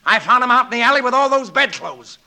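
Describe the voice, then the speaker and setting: An actor playing a gruff, bullying, suspicious policeman in 1940s radio comedy style, blustering and accusing. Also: very high voice, animated, fast talker, bright, forceful tone, full character voice. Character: gruff, bullying, suspicious big beat cop.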